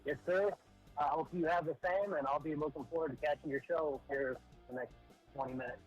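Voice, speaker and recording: A man's voice: on the high side, fairly even intonation, fairly quick, lo-fi sound, faint music behind. A man calling in to a live show while driving his truck, polite and friendly. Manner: polite, friendly well-wishing. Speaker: caller.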